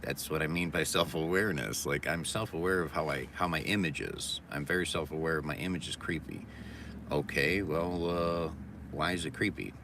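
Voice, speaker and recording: Very deep-voiced man, rambling and sarcastic. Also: normal speed. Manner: rambling, sarcastic, reading chat comments aloud. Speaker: vlogger. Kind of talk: casual monologue. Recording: distant mic with loud background noise.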